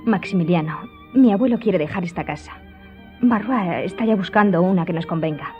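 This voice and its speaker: A woman's voice: on the low side, a little fast, natural voice. Actress as a determined young lady, music behind. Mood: hopeful, resolute. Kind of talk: radio drama acting. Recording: slightly dull, music behind.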